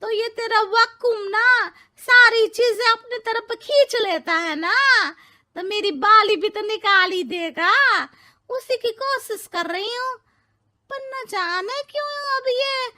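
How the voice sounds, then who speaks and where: Shrill, melodramatic old village mother-in-law. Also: slow pace, heavily acted. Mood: naive, excited. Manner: loud wailing, exclaiming rustic talk.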